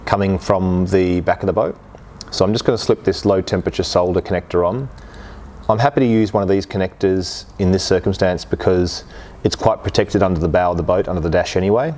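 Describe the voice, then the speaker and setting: Male how-to host, 40s, fairly low pitch, practical and plain-spoken, talking through each step. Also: a little mellow, a little hiss or hum. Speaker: host of boat repair channel. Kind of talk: how-to demonstration, explaining steps. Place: workshop garage, indoors.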